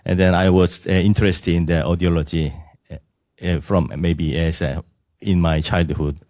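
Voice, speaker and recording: A middle-aged man introducing himself to a class in hesitant, non-native English with many pauses. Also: low voice, little pitch movement, mellow, no echo, clean studio sound. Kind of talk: self-introduction at a welcome session.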